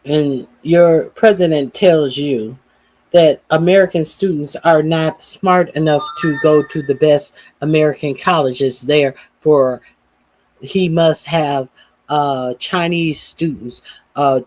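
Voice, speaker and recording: A man hosting a political commentary monologue, speaking haltingly with many "uh"s and a tone of exasperated disbelief. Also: on the high side, darkish tone, low-quality recording.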